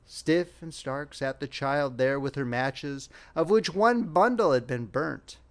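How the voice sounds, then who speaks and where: Casting: man for dramatic fairy tale narration, highly varied pitch, slightly high register, expressive. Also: takes it slowly, clean tone. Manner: expressive, theatrical storytelling. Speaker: audiobook narrator.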